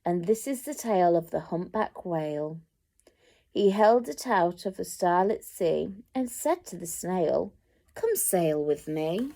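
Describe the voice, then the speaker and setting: A woman reading a picture book aloud to children, with expressive, dramatic emphasis and direct asides to young listeners. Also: lowish voice, measured pace, vocal fry.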